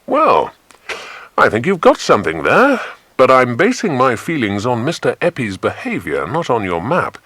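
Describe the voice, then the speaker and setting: A hearty, easygoing grown man from a children's adventure audiobook, acted out by a male narrator. His talk is avuncular and considered, with lively swings of pitch, and the sound is dry and close. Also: normal speed, no room sound. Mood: thoughtful. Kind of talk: dramatized children's audiobook dialogue.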